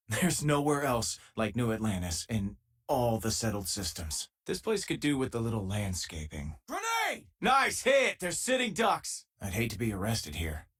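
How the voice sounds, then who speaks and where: An actor playing an easygoing, wry spacefaring miner and loyal companion in a video game, with a husky voice and a casual, dry-humoured way of talking. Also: male voice, on the low side, wildly swooping pitch, moderate pace, a bit bright, full character voice. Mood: relaxed, wry, then combat shouting. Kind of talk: scripted game voice lines, natural conversational delivery.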